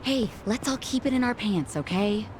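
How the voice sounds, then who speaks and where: A woman's voice: fairly high pitch, lively intonation, normal speed, noisy background, close to an everyday voice. Actress as sarcastic, no-nonsense female game companion. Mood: irritated, curt. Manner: sarcastic, blunt, wary. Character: sharp-tongued, skeptical, no-nonsense adult female companion. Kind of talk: English video game dub acting. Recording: music behind dialogue.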